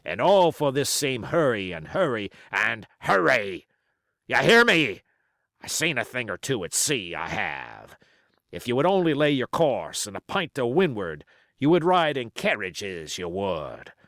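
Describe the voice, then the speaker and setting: A male reader puts on a commanding, crafty pirate sea cook from an adventure storybook. He speaks slowly in folksy sailor grammar, weighing his words, and his pitch rises and dips widely in a dry, close recording. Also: big pitch swings, a bit bright, dry recording. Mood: scolding.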